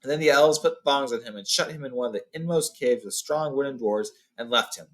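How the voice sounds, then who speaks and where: A man with a medium-pitched voice hosts a book read-along. He moves between reading a fantasy tale as narrator and recapping it informally in his own words. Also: brisk, poor audio.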